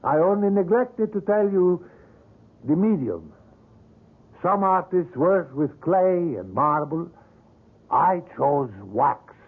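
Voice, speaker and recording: An actor playing a smooth, condescending older sculptor who owns a wax museum, in a 1970s radio drama heard over a tinny old broadcast. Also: male voice, high voice, lively intonation, takes it slowly, pauses often, full character voice. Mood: cold.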